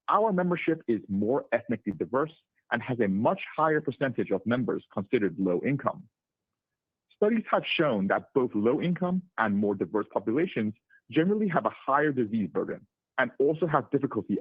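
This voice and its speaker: Lively, husky man on a phone line. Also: mid-range voice, a little fast, dry recording. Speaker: chief executive. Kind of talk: prepared corporate earnings remarks. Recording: muffled narrowband conference call line.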